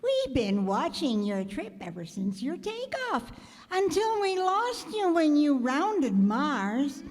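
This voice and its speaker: A woman's voice: high voice, big pitch swings, takes it slowly, a little mellow, heavily acted. A woman playing a gracious, genteel carrot wife and mother in a comic radio play, politely hostess-like, in an echoey hall. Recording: live stage performance in echoey hall.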